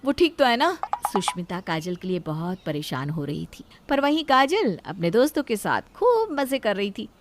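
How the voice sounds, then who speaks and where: A woman's voice: mid-range voice, very animated, moderate pace, few pauses. A woman reading a scripted moral family story as the storyteller.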